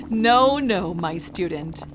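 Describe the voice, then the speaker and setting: Regal pony princess and patient mentor voiced by a woman, stately yet very lively intonation, music behind. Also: fairly high pitch, very slow, heavily acted. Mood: amused. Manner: stately, explanatory. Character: regal pony princess, kindly amused teacher. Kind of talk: dramatic fan fiction audio reading.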